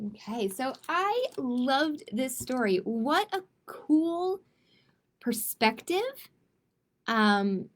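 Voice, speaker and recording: Female storytime reader for kids: chatty, expressive, very slow delivery with plenty of vocal fry. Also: fairly high pitch. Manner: expressive, chatty, addresses young listeners directly. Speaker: library storytime host reading picture books. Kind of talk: children's read-aloud with casual chat.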